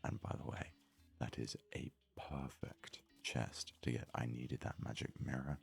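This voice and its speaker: Very deep, gravelly ASMR man. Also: level tone, unhurried. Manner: hesitant, rambling, thinking aloud. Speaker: gaming video host. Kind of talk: whispery soft ASMR let's play commentary. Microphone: close, highly sensitive microphone.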